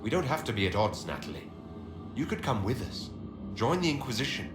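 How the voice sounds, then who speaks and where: A commanding yet merciful fantasy-game leader, played by a man, direct and wry. The voice moves animatedly in pitch, in an echoey space with loud music. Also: very animated, brisk, close to an everyday voice. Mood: conciliatory. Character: commanding but merciful male leader of a holy order. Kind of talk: dramatic fantasy game acting. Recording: roomy echo, loud background music.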